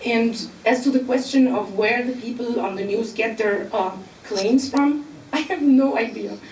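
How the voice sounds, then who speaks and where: A woman from Egypt speaking on a panel, explaining earnestly with filler pauses. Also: on the high side, darkish tone, recorded from a distance, a little hiss or hum. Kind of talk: panel discussion. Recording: echoey room, mic from afar.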